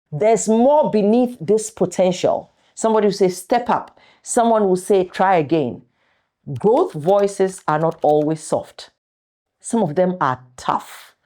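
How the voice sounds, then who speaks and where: A middle-aged woman giving a motivational talk as host, speaking deliberately and emphatically in short, weighted phrases with pauses. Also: lowish voice, dark tone. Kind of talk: motivational talk to an audience of viewers.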